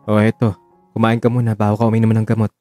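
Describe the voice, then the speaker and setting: A man acting as a devoted, caring partner in an audio drama, earnest, coaxing and gently scolding with emotion. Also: fairly low pitch, monotone, fast, soft background music, natural voice.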